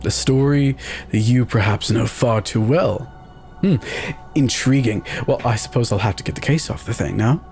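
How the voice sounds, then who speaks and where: Suave curator acted by a man, guarded, intrigued, dry formal delivery, mid pitch, tabletop game character, music behind. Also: moderate pace, a little mellow, natural voice.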